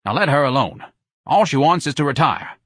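A man's voice: fairly high pitch, lively intonation, brisk, a bit bright, husky, no echo, clean studio sound, voice pushed up. A man voicing a self-righteous, melodramatic middle-aged wife in an audiobook, nagging and reproachful. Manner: indignant, reproachful, dramatic.

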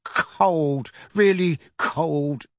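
A man voicing a spoiled teenage boy in an audiobook, dazed and shaken, mumbling haltingly in a hoarse voice. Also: on the high side, no echo. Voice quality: hoarse, croaky.

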